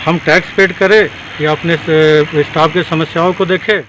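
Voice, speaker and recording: A man's voice: fairly high pitch, steady tone, normal speed. A man explaining his situation in a news interview, recorded on a noisy street with vehicles. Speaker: bus operator being interviewed.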